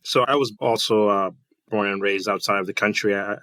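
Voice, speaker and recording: A man from Trinidad and Tobago answering as a podcast guest, measured and thoughtful. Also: on the low side, steady tone, bright, forceful tone, no echo. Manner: measured, thoughtful, with fillers and restarts. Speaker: guest, finance director. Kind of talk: interview answers.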